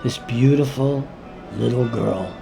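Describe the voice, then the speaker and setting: Slow, gravelly man performing spoken word. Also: mid-range voice, music in the background. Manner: deliberate, with weighty pauses and repeated words. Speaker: writer reading his own monologue. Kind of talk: spoken-word dramatic monologue.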